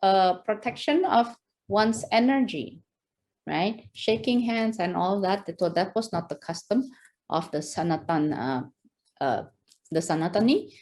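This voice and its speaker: Slow-speaking Indonesian woman lecturing. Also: medium pitch, animated, darkish tone. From West Java, Indonesia. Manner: engaging, quizzes the audience, frequent rhetorical "right?"; enthusiastic, laughs often. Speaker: guest lecturer. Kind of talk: lecture to an audience.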